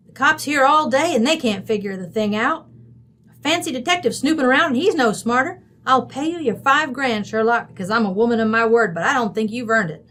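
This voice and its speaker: Brassy lady of the house, slightly high voice, blunt, slangy radio mystery delivery. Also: sharp tone. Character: brassy, sharp-tongued American woman of the house. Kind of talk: old-time radio mystery acting.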